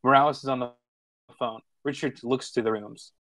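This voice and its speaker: A man reading screenplay stage directions as narrator, informal and slightly halting. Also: mid-range voice, fairly even intonation, a bit bright, dry recording. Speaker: narrator of a screenplay table read.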